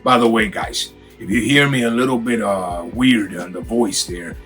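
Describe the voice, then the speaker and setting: A raspy-voiced man with a cold, from Miami, hyping up a casual clothing unboxing for his viewers. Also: fairly low pitch, normal speed, fairly bright tone, soft background music. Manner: hyped, slangy, talks straight to viewers. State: has a cold. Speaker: sneaker and clothing vlogger. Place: home room.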